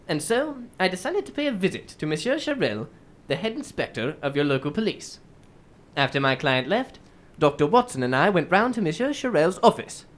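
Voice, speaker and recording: Woman doing composed detective guy in a radio play, formal storytelling, lowish voice that moves around a lot. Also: very animated, brisk. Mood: composed, explanatory. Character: shrewd, composed male English detective recounting his case. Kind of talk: radio drama acting.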